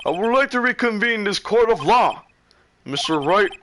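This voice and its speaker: A man voicing a flustered, excitable judge from a courtroom video game in a loud, declamatory way. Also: high-pitched, normal speed, slight background noise, pitched above the performer's own voice. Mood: formal. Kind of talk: video game voice-over reading.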